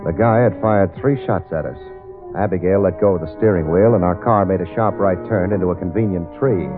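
Wry low-voiced American radio detective, played by a man. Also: brisk, natural voice. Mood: dry narration. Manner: dry, sardonic, hesitant asides. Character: wisecracking male private detective, wry and streetwise. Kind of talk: 1940s radio detective drama acting, with first-person narration. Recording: tinny old radio recording, music.

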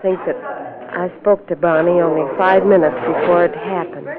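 An actress playing a chatty, plain-spoken small-town waitress in a 1940s radio drama. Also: female voice, fairly low pitch, fairly even intonation, normal speed, lightly acted. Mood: chatty, confiding. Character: chatty small-town lunch-counter waitress, knows the regulars. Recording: tinny old radio broadcast, crowd behind.

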